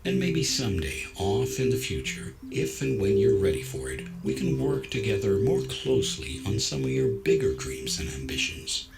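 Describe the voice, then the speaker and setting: Man as a deep-voiced, philosophical android. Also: wildly swooping pitch, vocal fry, heavily acted, low-quality recording. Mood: gentle, explaining. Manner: measured, nonchalant, reasoning. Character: calm, philosophical android, self-assured and gently wry. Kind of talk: dramatized science fiction audio story. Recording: music behind the voice.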